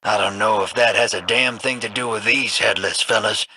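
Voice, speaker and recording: An actor playing a cocky, folksy old gunslinger rogue in a video game, talking with a drawling, wisecracking swagger. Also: male voice, fairly low pitch, steady tone, normal speed, bright, forceful tone, slightly rough, no echo, heavily acted, low-quality recording. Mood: wry. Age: older.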